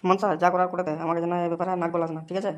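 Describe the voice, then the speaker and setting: A woman's voice: lowish voice, level tone, racing, close to an everyday voice. A woman voicing a bullying, foul-mouthed young club gangster in an amateur comedy cartoon, slangy and threatening. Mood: shady.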